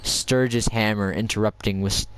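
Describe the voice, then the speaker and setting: A man reading fan fiction aloud in a wry, deadpan way, recorded on a console headset. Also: lowish voice, measured pace, smooth, rough recording. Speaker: reader. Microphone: game console headset.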